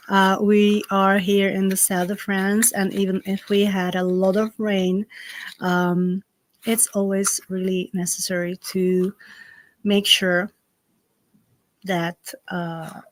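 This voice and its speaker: Slovak-accented woman leading a home ritual on a livestream, talks slow and soft, lots of ums, dry sound. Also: medium pitch, no room sound. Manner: slow, gentle instructions with frequent fillers. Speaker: spiritual ritual host. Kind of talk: live-streamed ritual guidance. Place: home in the south of France. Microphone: computer on a live stream.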